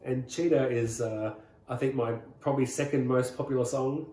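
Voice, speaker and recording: A man's voice: medium pitch, moderate pace. A middle-aged man with an Australian accent tells his stories easily, drifting through "uh"s and restarts. His voice sits in an average register and carries a roomy echo. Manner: rambling, relaxed, many "uh"s and restarts. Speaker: rockabilly singer, guest. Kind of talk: casual interview storytelling.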